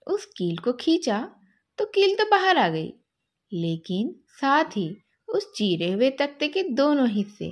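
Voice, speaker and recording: A woman telling a story to children expressively, in a gentle, teaching way. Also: high-pitched.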